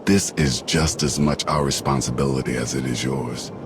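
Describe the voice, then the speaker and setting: An actor playing a solemn, repentant warrior in a video game, speaking formally. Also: male voice, very deep voice, steady tone, moderate pace, background noise. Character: solemn, very deep-voiced repentant male warrior. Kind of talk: video game cutscene acting.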